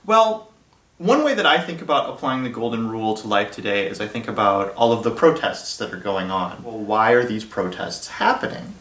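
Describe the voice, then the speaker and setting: A man's voice: fairly low pitch, lively intonation, moderate pace, fairly bright tone. A man speaking as an interview guest, explaining ideas simply for children in a conversational way with everyday examples. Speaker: guest, philosophy lecturer. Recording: roomy echo, distant from microphone.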